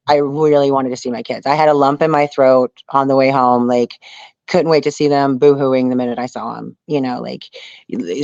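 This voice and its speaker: A woman's voice: rumbling low, a little mellow, no echo. A woman speaking earnestly as an interview guest, telling her story casually and often saying "you know". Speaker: interview guest, reality survival show contestant. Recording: dull lo-fi audio.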